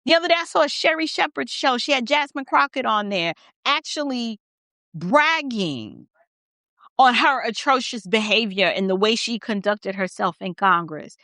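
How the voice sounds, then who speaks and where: Female American political host, high voice, dry close sound, emphatic and blunt off-the-cuff delivery. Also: animated, moderate pace, sharp tone, dry recording. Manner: blunt, emphatic, repeating phrases for stress. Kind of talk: opinionated off-the-cuff commentary.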